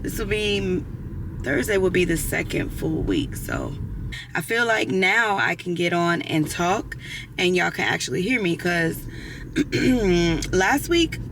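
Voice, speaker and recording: A woman vlogger with a hoarse voice as she gets over losing it, chatting casually to camera, often clearing her throat. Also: lowish voice, very animated, a little slow, noisy background. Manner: chatty, rambling with many fillers and throat clearing. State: hoarse, recovering from lost voice.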